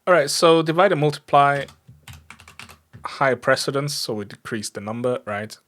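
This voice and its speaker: A man's voice: medium pitch, animated, normal speed, a bit bright. A man from Germany with a German accent, chatting casually and in an easygoing, self-deprecating way as he codes on a livestream. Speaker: live coding streamer. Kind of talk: casual livestream chat while programming. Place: indoors at a computer desk.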